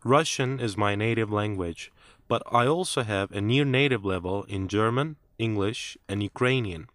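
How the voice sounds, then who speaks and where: Man, lowish voice, measured scripted reading, dry close sound. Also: a bit bright, no room sound. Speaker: test narrator and interviewee. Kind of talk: scripted listening-test reading.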